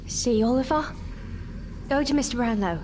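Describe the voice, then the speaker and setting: A woman's voice: on the high side, moderate pace, a little mellow, a little hiss or hum, close to an everyday voice. A woman playing a protective, pleading young woman among thieves in an audio drama, earnest and urgent. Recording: music behind.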